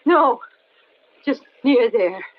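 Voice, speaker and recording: A woman's voice: fairly high pitch, lively intonation, drawn-out words. An actress playing a frantic, unsettling stranded woman with breathless pleas, in vintage radio thriller style. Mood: haunted, uneasy. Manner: breathless, wailing pleas and ominous remarks. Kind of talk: 1940s-50s radio thriller acting. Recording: dull vintage radio recording, faint noise.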